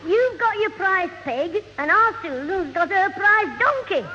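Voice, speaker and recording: A man's voice: extremely high pitch, expressive, measured pace. A man playing a cheeky, wisecracking young schoolboy with a Northern English accent in an old radio comedy. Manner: pert, wisecracking. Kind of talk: 1970 radio comedy acting. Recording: noisy, muffled old radio recording.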